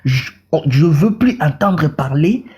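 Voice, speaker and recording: A man with a Congolese French accent makes a fervent political case. His voice is dark and mellow, and he stumbles over repeated words as he presses his point. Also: fairly high pitch, lively intonation, takes it slowly. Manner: repeats words, mixes in Lingala. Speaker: caller in a debate. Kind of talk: impassioned political talk.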